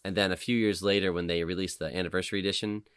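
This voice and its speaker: A man's voice: low-pitched, fairly even intonation, very fast, smooth, dry recording, clean studio sound. A man chatting informally about video games as a podcast host, with some casual swearing.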